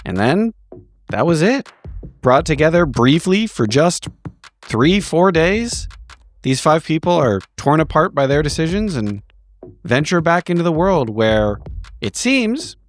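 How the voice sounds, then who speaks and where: A man giving a dramatic, improvised story recap as a tabletop roleplaying player, with wry, deliberate phrasing and pauses. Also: a little high, very animated.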